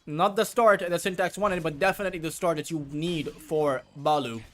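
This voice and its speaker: A man who speaks Urdu as his first language, casting a match alone, with rambling asides between quick play calls. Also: fairly high pitch. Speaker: esports caster. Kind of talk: solo play-by-play commentary.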